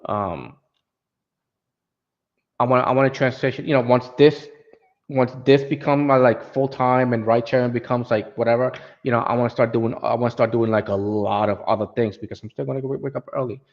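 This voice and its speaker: A man's voice: mid-range voice, fairly even intonation, fairly quick, lo-fi sound. A Puerto Rican man with an accent, chatting casually as a guest and restarting often. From Puerto Rico. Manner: hesitant, many restarts. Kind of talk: casual chat.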